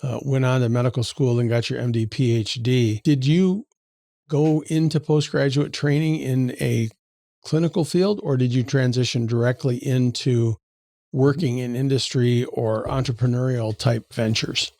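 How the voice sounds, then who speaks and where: A man's voice: mid-range voice, steady tone, moderate pace, mellow, no echo, studio quality. A man hosting a podcast, asking conversational questions and rephrasing them as he goes. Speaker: podcast host. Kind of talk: interview questions.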